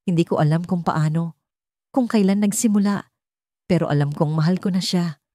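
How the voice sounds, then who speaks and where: A woman's voice: lowish voice, moderate pace, warm tone, dry recording, clean studio sound. A woman narrating a scripted first-person story as a storyteller.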